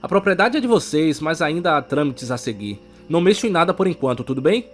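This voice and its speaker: Narrator as a polite lawyer man, brisk, courteous, raised pitch, audio story, music underneath. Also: animated, lightly acted. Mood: businesslike. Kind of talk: audiobook character acting.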